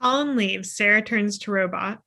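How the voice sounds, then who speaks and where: Female narrator for a script read, medium-pitched voice, reading stage directions over video call. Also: moderate pace, creaky, sharp tone. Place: indoor room on a video call.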